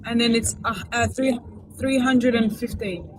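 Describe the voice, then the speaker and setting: A woman's voice: fairly high pitch, steady tone, background noise. A woman on a podcast spelling out a book title letter by letter. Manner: spells out words slowly.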